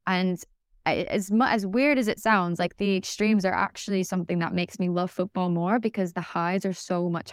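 A woman's voice: fairly low pitch, clean tone, no room sound, clean studio sound. A young American woman, a podcast guest, talking enthusiastically in a casual interview, with lots of fillers and restarts. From United States.

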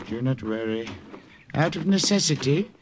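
An actor playing a wily middle-aged village priest in a 1940s radio drama, with a calm, persuasive manner. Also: male voice, mid-range voice, normal speed, close to an everyday voice. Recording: old radio broadcast with faint hiss.

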